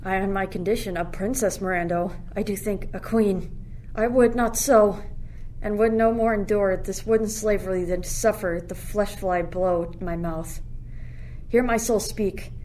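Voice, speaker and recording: A student actress playing an earnest, adoring young royal lover in a Shakespearean radio play. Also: female voice, mid-range voice, fairly even intonation, moderate pace, a little mellow, natural voice, poor audio. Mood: ardent. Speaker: student actor. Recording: faint noise, ambient sound effects.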